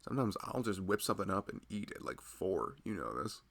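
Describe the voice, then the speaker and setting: A big, loving husband played by a man speaks low and gravelly in an intimate ASMR roleplay. He is tender and playful, gently fussing over his pregnant wife. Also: sing-song, a little fast, full character voice. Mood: tender, reassuring.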